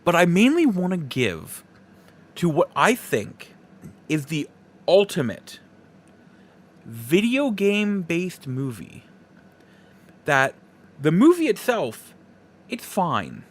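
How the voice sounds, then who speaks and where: A man with a slightly high-pitched voice talks casually as a solo podcast host. His delivery is slow and halting, broken into short phrases, with emphatic stresses on key words. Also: huge pitch swings. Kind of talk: casual solo opinion talk.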